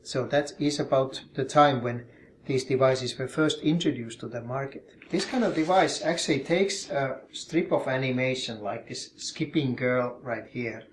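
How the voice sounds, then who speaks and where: A man from Finland, a professor calmly explaining and demonstrating his collection in his office in an interview. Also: medium pitch, moderate pace, a little hiss or hum. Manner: calm, explanatory, demonstrating objects as he talks.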